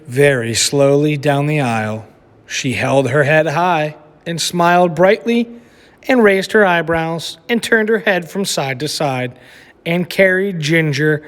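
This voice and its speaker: A man teacher reading a picture book aloud to children with animated storytelling, then asking them questions about it. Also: on the high side. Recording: echoey room with long reverb.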